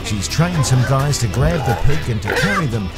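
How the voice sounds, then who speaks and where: A man's voice: medium pitch, animated, normal speed. A man reading a scripted, dry, tongue-in-cheek voice-over narration. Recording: voice-over with loud music.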